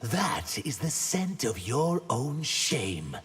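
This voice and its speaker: A man voicing a cold, menacing shapeshifting water sorcerer in a fighting game, deliberate and disdainful. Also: medium pitch, big pitch swings, slightly rough, faint music behind, full character voice. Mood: cold menace. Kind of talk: video game fight intro banter.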